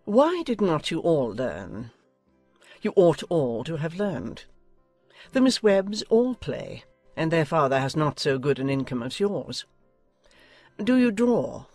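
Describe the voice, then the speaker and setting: A woman's voice: deep voice, big pitch swings, slow pace, darkish tone, dry recording, faint music behind, full character voice. A woman playing an imperious, nosy older noblewoman in a dramatized audiobook, haughty and decisive. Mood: incredulous, disapproving. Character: imperious, nosy older aristocratic English lady.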